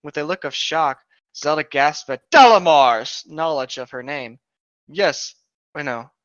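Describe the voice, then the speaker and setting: A man's voice: on the high side, a little slow, fairly bright tone, smooth, no room sound, muffled recording. A man co-hosting a comic read-aloud, dramatic in the story and casual in his asides. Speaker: co-host reading stories aloud.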